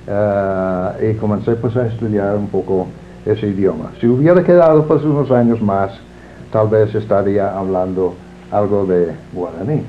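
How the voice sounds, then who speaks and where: An older native English speaker talks in a slow, formal, reflective manner, his voice sitting on the low side. The sound is thin and narrow like an old video tape, with a faint hiss underneath. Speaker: interviewee. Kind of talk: formal recorded interview. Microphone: camera mic.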